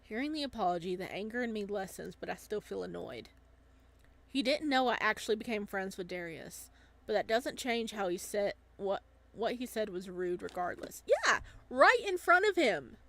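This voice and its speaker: A woman's voice: medium pitch, moderate pace, gravelly. A woman reading first-person visual novel narration aloud, with casual asides.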